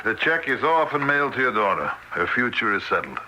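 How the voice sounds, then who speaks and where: An actor playing a kindly, excitable but world-weary man in a radio drama. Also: mid-range voice, a little fast. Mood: wry, coaxing. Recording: noisy vintage radio broadcast.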